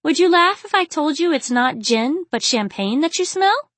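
A female reader plays a tipsy young woman in an audiobook. Her voice sits high and the sound is close and dry. She is emotional and pleading, given to theatrical outbursts. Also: lively intonation, moderate pace, a bit bright, dry recording. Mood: tipsy, sheepish. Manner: dramatic, exclamatory. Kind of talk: audiobook character acting.

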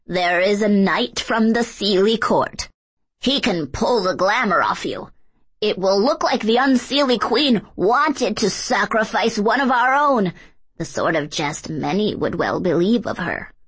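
A woman's voice: medium pitch, expressive, measured pace, husky. A woman voicing a serious, cautious male fairy from a storybook, terse and grave. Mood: grave, planning.

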